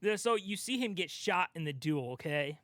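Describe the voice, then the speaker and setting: Male podcast co-host, higher-pitched voice, informal and animated, recorded close and dry. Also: moderate pace, no room sound. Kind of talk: casual chat.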